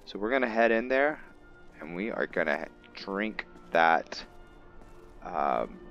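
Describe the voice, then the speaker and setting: A man's voice: sits low, animated, slow, a bit bright. A man hosting a roleplay game session, casually commentating in a musing, hesitant way. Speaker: gamer, roleplay host. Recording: dull narrow-band mic over game music. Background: faint game music.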